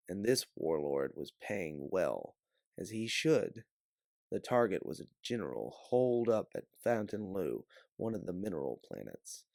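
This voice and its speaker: A man with a deep, gravelly voice reads a sci-fi adventure story. His delivery is theatrical and measured, with lively swings in pitch. The recording is close and dry. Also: no room sound. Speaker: audiobook narrator. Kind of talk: dramatic second-person sci-fi story narration.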